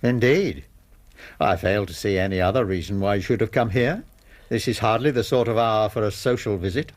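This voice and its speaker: An actor playing a menacing, coldly polite English blackmailer in a radio drama, formal and smoothly condescending. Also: male voice, on the low side, moderate pace, lightly acted. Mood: cold, mocking. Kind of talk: British radio drama acting.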